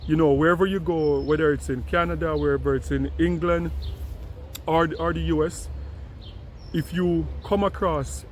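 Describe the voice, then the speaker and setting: Guy doing casual commentary, highish voice, keeps restarting his sentences. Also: moderate pace, slight background noise. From Jamaica. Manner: animated, repeats words when starting sentences. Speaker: commentator responding to a video.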